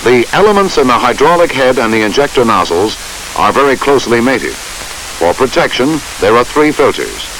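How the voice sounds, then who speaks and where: Man narrating, animated pitch, hissy film soundtrack. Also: poor audio. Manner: measured, formal, instructional delivery. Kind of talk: scripted instructional narration.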